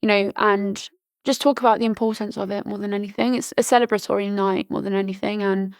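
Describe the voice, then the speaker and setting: A woman in her early twenties from Britain, chatting casually as a podcast guest, full of "like" fillers and restarts, her voice slightly hoarse. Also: medium pitch, little pitch movement, a little fast, no echo, studio quality. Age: early 20s. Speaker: podcast guest, charity founder. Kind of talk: casual interview chat.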